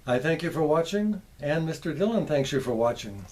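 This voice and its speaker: A man presenting a show-and-tell video in a folksy, deliberate way, with short careful phrases, theatrical exclamations and wry humour. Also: a little high, expressive, a little mellow. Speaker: presenter, vintage radio collector.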